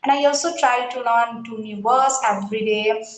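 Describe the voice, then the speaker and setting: A woman's voice: fairly high pitch, normal speed, reverberant, rough recording. A woman with an Indian accent, speaking hesitantly as an English learner in a casual conversation, with many fillers and restarts. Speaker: English learner being interviewed.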